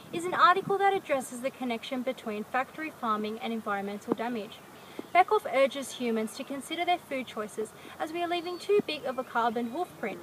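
A woman's voice: high voice, low-quality recording. A young woman, a university student, presenting a scripted report outdoors, read formally to a camera a few metres away. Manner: reads a prepared script in a formal, even way. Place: outdoors by a rural paddock. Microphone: camera mic from a few metres away. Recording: loud outdoor ambient noise.

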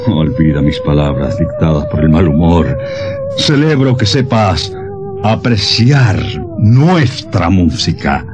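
A man's voice: sits low, wildly swooping pitch, slow, music in the background, exaggerated voice. A man playing an intense, moody, eccentric musical maestro in a radio horror drama, theatrical and emphatic. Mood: proud, secretive.

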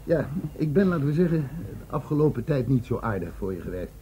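An actor playing a genial, self-important senior police inspector in a radio drama, formal and confiding. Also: male voice, mid-range voice, very animated, fast, warm tone, close to an everyday voice. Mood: awkwardly confiding. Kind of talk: Dutch radio drama acting. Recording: dull, faintly noisy radio recording.

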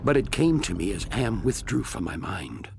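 A man's voice: fairly low pitch, very animated, normal speed, creaky. An actor playing a weary, anguished male survivor, earnest and dramatic, in a radio play. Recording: noisy radio play recording.

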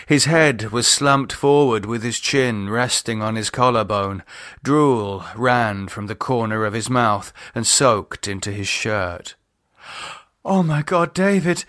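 A man narrating a novel in a measured, deliberate audiobook style. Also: on the low side, fairly bright tone, no room sound, clean studio sound. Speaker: author reading own novel. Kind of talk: scripted audiobook narration, dramatised.

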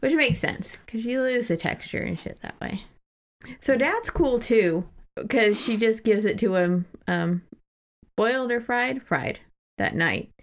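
A woman's voice: on the high side, animated, a little slow. A woman co-hosting a podcast, casually retelling a book in an informal, giggly, lightly sweary way. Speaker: podcast co-host. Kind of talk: casual book discussion with retelling and read-out passages.

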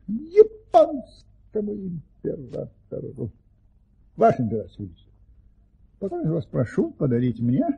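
An actor playing a courteous, boastful, unflappable nobleman adventurer in an audio play, self-assured and courtly, with a husky, gravelly voice. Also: a little high, phone-quality sound, exaggerated voice.

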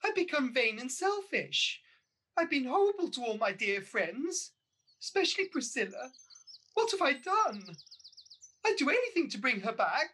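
A woman's voice: high voice, sing-song, normal speed, a bit bright, husky, heavily acted. A woman playing a vain, haughty pantomime mother with an exclamatory, theatrical delivery, in a radio panto. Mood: remorseful, distressed. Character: vain, haughty pantomime dame-mother, newly young and beautiful.

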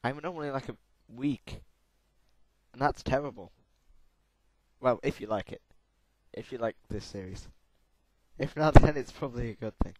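A hoarse young man with a sore throat, giving excitable let's-play commentary while gaming. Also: mid-range voice, moderate pace, pauses often, no echo, rough recording. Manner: excitable, yelps and laughs at crashes. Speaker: gamer.

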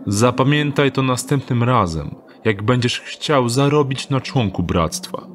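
A man's voice: medium pitch, expressive, a little slow, noisy background, close to an everyday voice. An actor playing a wry, mocking male thief in an audio drama.

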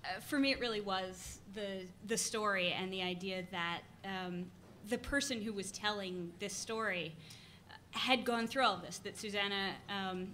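A woman editor answers a panel question at a mid pitch, hesitating often with "um" and "uh". Faint hall noise runs behind her. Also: fairly bright tone. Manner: hesitant, many fillers.

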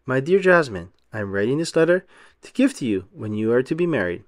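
A man reading as a loving, measured storybook mother. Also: medium pitch, very animated, dry recording, studio quality, natural voice. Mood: affectionate. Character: loving mother writing to her daughter.

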